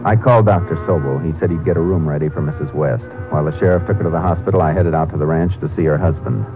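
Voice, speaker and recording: An actor playing a calm, matter-of-fact Texas Ranger who questions and narrates in a 1950s radio crime drama. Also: male voice, very deep voice, fast talker. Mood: measured narration. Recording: dull old radio recording, music behind.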